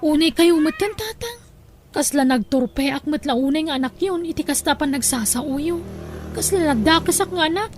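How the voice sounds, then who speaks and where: A woman's voice: high voice, animated, normal speed, noisy background. A woman playing a reluctant grown daughter who grumbles and argues in an audio drama. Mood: resentful. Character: reluctant, complaining grown daughter arguing with her father.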